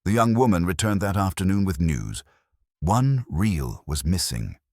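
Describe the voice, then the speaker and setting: A man narrating a scripted mystery story in a measured, literary manner. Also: low-pitched, expressive, darkish tone, dry recording, clean studio sound.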